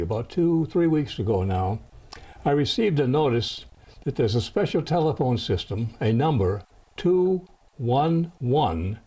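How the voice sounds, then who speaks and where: A man's voice: mid-range voice, mellow, husky. An older American man hosting a television interview in a folksy, encouraging way that mixes formal phrasing with slang. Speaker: host and producer of a veterans interview show.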